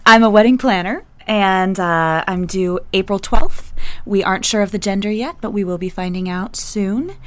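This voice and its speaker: A woman's voice: medium pitch, normal speed, fairly bright tone. Woman in her thirties introducing herself. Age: 30s. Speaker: panel guest.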